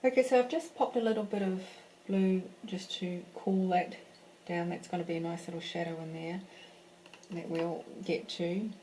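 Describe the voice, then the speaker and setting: A woman's voice: fairly low pitch, fairly even intonation, normal speed, dark tone, slight background noise, poor audio. A woman teaching a live painting class from her home, chatting casually as she works and thinking aloud with fillers and restarts. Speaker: art teacher. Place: home in a French village.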